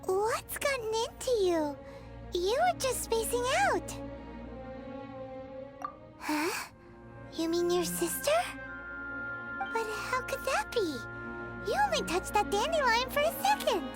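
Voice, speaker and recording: A tiny, curious fairy girl from a video game, chatters in an extremely high, childlike voice. Her intonation bounces up and down, yet the words come slowly, with music playing behind her. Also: big pitch swings, exaggerated voice. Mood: puzzled. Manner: chatty, third-person self-reference, exclaiming.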